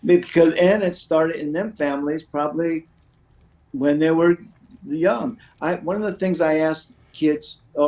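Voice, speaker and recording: A man's voice: on the high side, darkish tone, low-quality recording. A middle-aged man chatting as an interview guest, a recovery counselor who rambles and often says "you know". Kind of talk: conversational interview, sharing personal anecdotes.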